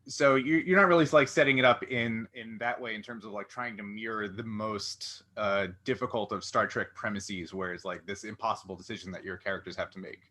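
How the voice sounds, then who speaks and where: A man hosting a casual interview, putting his questions in a halting, roundabout way. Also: fairly low pitch, fairly even intonation, sharp tone. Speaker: interview host.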